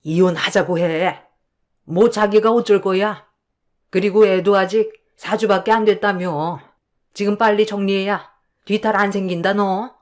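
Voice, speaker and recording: A woman voicing a snobbish older mother-in-law who talks in a sharp, scolding, dismissive way. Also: mid-range voice, fairly even intonation, a little slow, close to an everyday voice. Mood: scheming. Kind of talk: dramatized storytelling.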